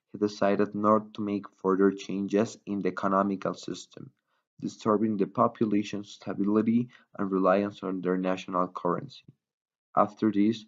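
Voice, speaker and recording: A slightly dull, lo-fi recording of a man reporting for a newsreel. His voice sits fairly low, and he reads a formal, wordy news script.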